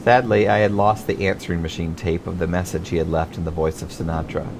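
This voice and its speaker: A man's voice: low voice, steady tone, quick pace, background noise. A middle-aged American man from Michigan reading his own memoir as scripted audiobook narration. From Michigan, United States.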